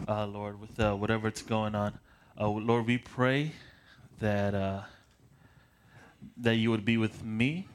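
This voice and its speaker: Young man preaching, casual and restless. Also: lowish voice. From Michigan. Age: late 20s. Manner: casual and self-deprecating, with frequent restarts and chuckles; paces around while preaching. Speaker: pastor. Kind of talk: prayer and sermon introduction.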